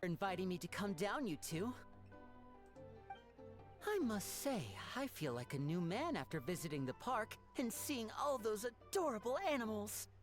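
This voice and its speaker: A woman's voice: medium pitch, very animated, moderate pace, soft background music, natural voice. A woman voicing a charming, enthusiastic young male stage magician in a fan-dubbed game, theatrical and courteous. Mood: cheerful.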